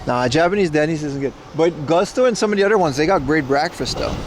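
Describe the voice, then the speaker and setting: A man with a somewhat high voice livestreams from beside a city railway platform. He answers his viewers casually and ribs them with playful mock insults. Faint trains and city traffic hum behind him. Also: quick pace. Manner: teasing, mock-insulting banter.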